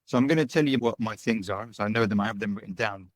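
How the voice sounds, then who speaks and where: A man's voice: fairly low pitch, fast talker, dry recording. Man answering interview questions, chatty. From United Kingdom. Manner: chatty, tags points with "right?" and "you know". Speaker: guest, digital nomad and business owner.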